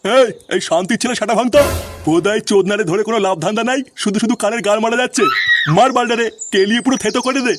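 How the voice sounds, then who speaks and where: A man's voice: high voice, rapid-fire, music in the background, close to an everyday voice. A man voicing a brash, foul-mouthed kidnapping gang boss in a comic cartoon. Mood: angry.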